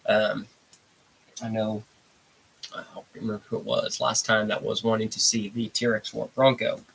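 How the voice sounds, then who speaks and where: A man with a blocked, stuffy nose hosts a casual live stream, chatting in a rambling, unhurried way. His voice sits a bit low. A faint hiss runs under the recording. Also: completely flat delivery, creaky, fairly bright tone, poor audio. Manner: laid-back, rambling, reads out chat comments. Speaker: livestream host.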